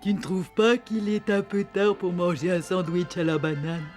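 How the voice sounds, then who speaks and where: A man voicing a sensible, gently teasing animal friend in a French cartoon. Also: high voice, fairly even intonation, moderate pace, natural voice. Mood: amused. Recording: voice over music.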